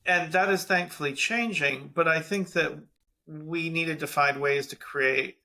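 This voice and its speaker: A man's voice: a little high, unhurried, sharp tone. A man in his fifties hosting a podcast interview in a playful, teasing way, laughing between his phrases. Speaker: podcast host and yoga teacher.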